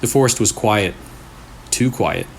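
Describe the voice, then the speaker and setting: A man reading a scary story aloud in short, deliberate phrases with pauses for suspense. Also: lowish voice. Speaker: storyteller, channel host. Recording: clearly noisy room recording.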